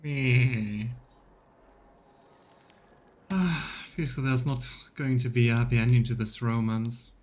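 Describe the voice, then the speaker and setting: A man's voice: mid-range voice, fairly even intonation, slow, pauses often, darkish tone. A man giving casual, musing commentary while streaming a game, often trailing off mid-thought. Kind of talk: casual live let's play commentary.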